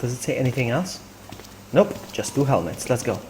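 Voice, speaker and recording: A man streaming a video game, commenting live and chatting with viewers in an excitable, exclamatory way, often repeating his phrases. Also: mid-range voice, brisk, slight background noise, poor audio.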